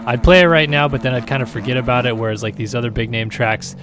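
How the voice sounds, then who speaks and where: Tired, raspy-voiced male gaming host. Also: medium pitch, steady tone, quick pace, over music. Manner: rambling, filler-heavy, easygoing. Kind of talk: casual gameplay commentary.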